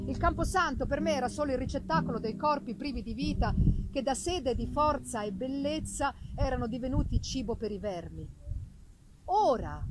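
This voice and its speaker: High-pitched woman reading theatrically. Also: noisy background. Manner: theatrical, deliberate phrasing with dramatic pauses. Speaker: reader performing a gothic novel. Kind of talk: dramatic literary reading to live music. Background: live musical accompaniment.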